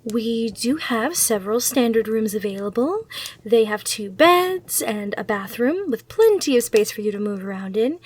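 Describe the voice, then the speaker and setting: Woman playing a high-voiced, courteous demon hotel receptionist. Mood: helpful, attentive. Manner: polite, reassuring customer-service patter. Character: gracious, eager female demon hotel receptionist. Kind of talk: ASMR roleplay acting.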